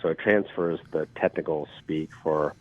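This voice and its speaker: Raspy low-voiced man on a phone line. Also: fairly even intonation, moderate pace. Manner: rambling, self-deprecating, with filler ums. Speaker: actor guest. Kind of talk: casual interview storytelling. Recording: phone-like call audio.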